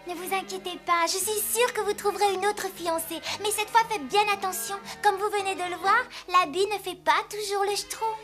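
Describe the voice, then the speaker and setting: A woman's voice: extremely high pitch, steady tone, normal speed, hardly any pauses. An actress playing a sweet, caring young female cartoon elf with a reassuring manner. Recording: loud music behind the voice.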